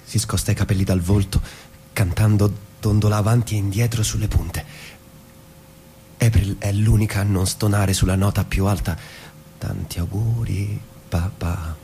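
An actor playing an introspective suburban husband in a radio drama, speaking in a reflective, measured, literary way. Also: male voice, lowish voice, steady tone, warm tone, slight background noise, natural voice. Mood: wistful. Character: introspective suburban husband, narrating his inner thoughts. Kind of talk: theatrical radio drama, literary monologue and dialogue.

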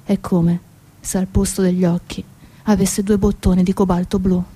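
A woman narrating a literary dramatic monologue in measured, recited phrases. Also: mid-range voice, flat delivery, warm tone, a little hiss or hum. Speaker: stage actress-narrator.

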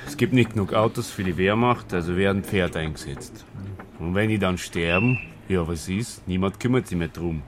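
A man's voice: low voice, normal speed, natural voice. A man playing a simple, plain-spoken Austrian blacksmith weary of war, in a radio drama with colloquial dialect. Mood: plain-spoken, rueful. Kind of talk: naturalistic radio drama dialogue. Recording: vehicle noise behind.

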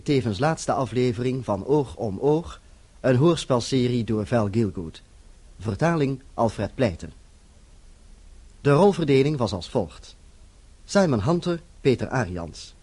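A man announcing radio credits in a formal, deliberate, scripted style, with a husky voice. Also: medium pitch, darkish tone.

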